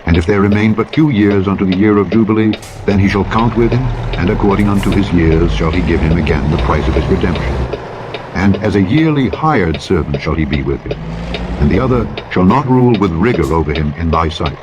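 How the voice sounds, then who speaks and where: A man with a low voice narrates scripture in a solemn, formal register. His delivery is measured and declamatory. Loud truck engine and road noise runs behind him all the time. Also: animated, highs cut off. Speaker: Bible narrator. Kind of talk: solemn scripture reading from the King James Bible. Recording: loud vehicle engine noise over audiobook playback. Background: truck simulator engine and road noise.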